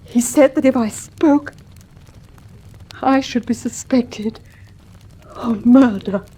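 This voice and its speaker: A woman's voice: fairly high pitch, takes it slowly, warm tone, slightly rough. A woman playing a proud, shaken, wronged wife in a radio drama, dramatic and intense. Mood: shaken, bitter. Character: wronged, emotional married lady, proud and shaken.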